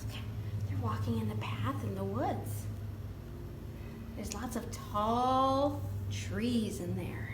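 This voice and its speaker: A woman hosting a children's storytime, reading aloud in an animated, exaggerated way and prompting young listeners with questions. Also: a little high, slow pace, pauses often. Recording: roomy echo with background noise.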